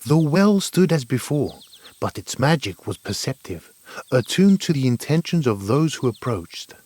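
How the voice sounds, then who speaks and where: A man's voice: medium pitch, very animated, unhurried, darkish tone, dry recording. A man narrating a scripted fairy tale in an earnest, dramatic storytelling manner.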